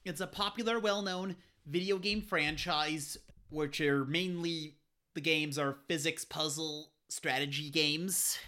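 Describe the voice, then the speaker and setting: A bright, sharp-sounding co-host joins a casual podcast chat. The answers come short and eager, in loose, rambling phrasing. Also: medium pitch, a little slow.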